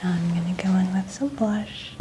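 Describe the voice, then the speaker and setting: A woman speaking in a soft, breathy half-whisper, gently and casually explaining as an ASMR makeup artist at work. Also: fairly low pitch, steady tone, normal speed, very noisy.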